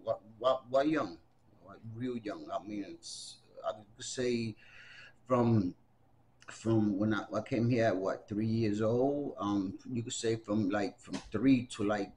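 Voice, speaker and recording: A man's voice: fairly low pitch, slow, darkish tone, a little hiss or hum. A man around sixty, born in Puerto Rico and raised in the Bronx, telling his story in an oral history interview with many fillers and restarts. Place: library room with bookshelves.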